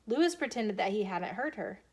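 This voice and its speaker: An American woman reading a children's storybook aloud in an expressive, animated way as a storytime host. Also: mid-range voice, brisk, breathy edge. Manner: animated, emphatic character dialogue.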